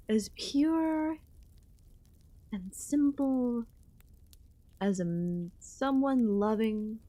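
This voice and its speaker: Woman acting a slow-spoken, possessive ancient goddess queen. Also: mid-range voice, mellow, no room sound, heavily acted. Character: ancient yandere goddess queen, possessive, lonely, hungry for love. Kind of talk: ASMR audio roleplay.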